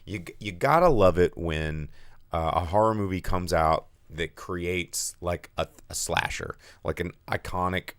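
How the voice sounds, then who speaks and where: Podcast co-host, man, hoarse and deep, loose chatty delivery, dry close sound. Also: no room sound. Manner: rambling, filler-heavy, animated asides. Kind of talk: casual movie news chat.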